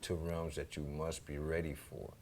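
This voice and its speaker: Male guest, very low voice, casual and emphatic, some ambient noise. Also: moderate pace. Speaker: talk show guest. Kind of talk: casual chat. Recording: clear steady background noise.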